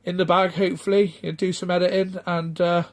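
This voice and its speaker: A middle-aged man, chatting off the cuff in a rambling, halting way full of ums and restarts. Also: sits high, level tone. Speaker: retro game collector vlogger. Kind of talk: casual off-the-cuff vlog chat.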